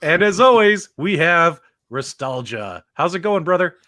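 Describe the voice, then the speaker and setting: A man's voice: a little high, very animated, a little slow, sharp tone, dry recording, studio quality. An enthusiastic man hosting a live video game talk show, repeating himself for emphasis. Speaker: show host.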